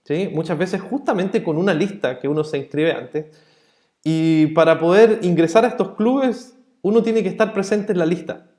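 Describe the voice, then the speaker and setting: A man teaching a networking course in a relaxed, chatty way. He often asks the class short check-in questions and explains ideas with everyday comparisons. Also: sits high, moderate pace. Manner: illustrates points with everyday analogies. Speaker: networking instructor. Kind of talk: explanatory online lesson. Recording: roomy echo.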